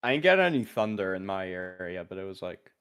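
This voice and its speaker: A man's voice: deep voice, very animated, a little fast, a bit bright, clean tone. A man co-hosting a game stream, talking casually and swearing freely. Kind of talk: casual live stream chat.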